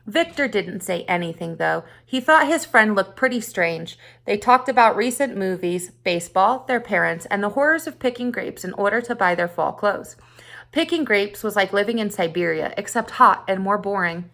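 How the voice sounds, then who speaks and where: A woman's voice: mid-range voice, animated, normal speed, creaky, fairly bright tone. Woman reading a school story aloud. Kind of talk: read-aloud narration of a children's short story.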